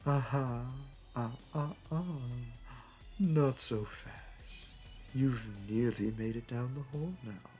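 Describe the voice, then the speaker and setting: Man playing a slow, teasing, suave supervillain. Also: mid-range voice, darkish tone, natural voice. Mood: flirty, admiring. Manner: teasing, purring, theatrical, drawn-out delivery. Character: suave, flirty male supervillain, teasing and admiring the hero. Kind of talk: flirty audio roleplay acting. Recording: music under the voice. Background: music.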